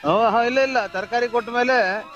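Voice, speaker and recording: A man's voice: very high voice, normal speed. Blustery man on a dull phone line. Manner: rambling, blustery, emphatic. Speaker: prank call recipient. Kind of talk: informal phone conversation. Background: faint music.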